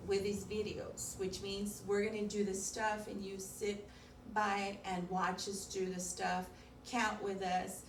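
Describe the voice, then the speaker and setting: A woman's voice: medium pitch, flat delivery, slow. Woman, children's teacher, mid-range voice, simple informal explaining, roomy distant recording with faint noise. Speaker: preschool teacher co-hosting a video lesson. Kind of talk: informal lesson chat for children. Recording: echoey room, distant mic, faint noise.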